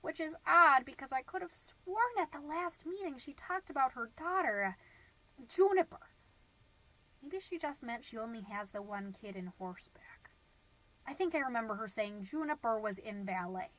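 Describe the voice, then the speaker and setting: A woman's voice: sits high, lively intonation, moderate pace, sharp tone, heavily acted, rough recording. A woman playing a middle-aged suburban stay-at-home mom, gossipy and naive, rambling through a comedic podcast monologue with folksy, dramatic emphasis. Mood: chatty, gossipy. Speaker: podcast host.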